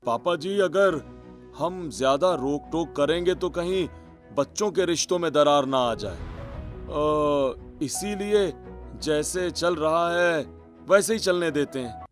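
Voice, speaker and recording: A man's voice: fairly high pitch, slow, soft background music. A man playing a worried, polite middle-aged father in a cartoon family story, earnest and careful. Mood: cautious.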